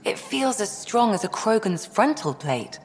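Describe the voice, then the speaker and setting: An actress playing a composed, caring doctor in a video game, measured and matter-of-fact. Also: female voice, mid-range voice, very animated, slight background noise. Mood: absorbed. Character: composed, caring female ship's doctor and scientist. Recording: reverberant room with faint music.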